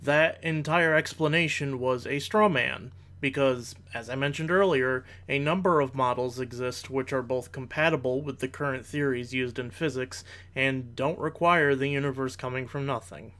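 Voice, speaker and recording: A man's voice: medium pitch, normal speed, hardly any pauses, a bit bright. A man giving argumentative reaction commentary in formal, analytical language, as a video creator.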